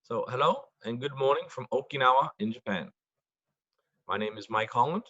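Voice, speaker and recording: A middle-aged man from Boston giving prepared remarks at an online forum, speaking deliberately slowly and clearly. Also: mid-range voice, sharp tone, dry recording. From Boston, United States. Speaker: foundation board member presenting. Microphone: video call. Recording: dull, dry video-call audio.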